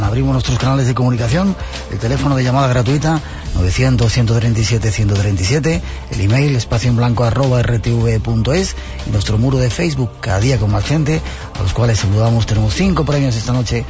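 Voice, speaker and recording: A man hosting a late-night radio show from a studio, with brisk, welcoming broadcaster delivery. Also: mid-range voice. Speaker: radio host. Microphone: studio microphone. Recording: slightly dull broadcast audio. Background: music bed.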